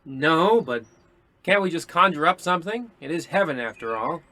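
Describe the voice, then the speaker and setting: A man's voice: on the high side, expressive, moderate pace, sharp tone. An actor playing a bombastic, impatient police detective who leads his squad in a declamatory old-time radio comedy style. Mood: brusque. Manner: pompous, declamatory.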